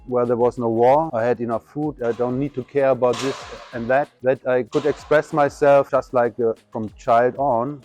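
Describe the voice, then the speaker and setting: A man in his fifties, a graffiti artist, giving reflective, halting interview answers at an art show. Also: medium pitch, steady tone, darkish tone, faint music behind. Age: 50s. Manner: reflective, halting, non-native phrasing.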